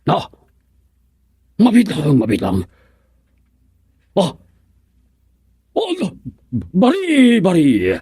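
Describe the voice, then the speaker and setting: An actor playing an eccentric, babbling man in a radio comedy, with halting, garbled speech and odd catchphrases. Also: high voice, wildly swooping pitch, warm tone, husky, dry recording, clean studio sound, heavily acted. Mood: excited, babbling. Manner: halting, garbled words, frequent chuckling. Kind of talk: radio comedy drama acting.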